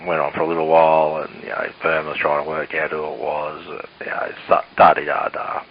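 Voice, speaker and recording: Low-voiced guy swearing away on cb radio, muffled, bit of hiss. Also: completely flat delivery, brisk. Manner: blunt, sweary. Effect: CB radio transmission. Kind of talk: casual radio chat. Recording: muffled hissy CB radio transmission.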